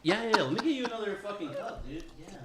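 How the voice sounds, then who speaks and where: A man's voice: high-pitched, expressive, rapid-fire, over a noisy crowd. Casual, slangy man on a podcast. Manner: slangy, profane, says "dude" and "bro" often. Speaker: podcast host. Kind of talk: casual banter with co-hosts.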